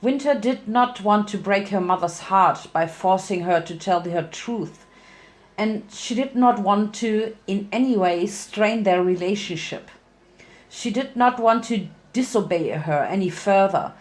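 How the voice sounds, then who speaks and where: A woman's voice: mid-range voice, a little slow. A woman narrating fan fiction as a dramatic reading, occasionally stumbling and correcting herself.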